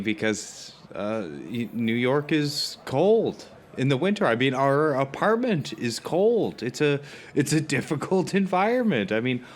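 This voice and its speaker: Man playing a halting, anxious, self-deprecating friend. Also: mid-range voice. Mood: defensive, plaintive. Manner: halting, stammering, rambling with many hedges. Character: anxious, self-deprecating New Yorker who loves comfort. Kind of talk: naturalistic audio drama dialogue.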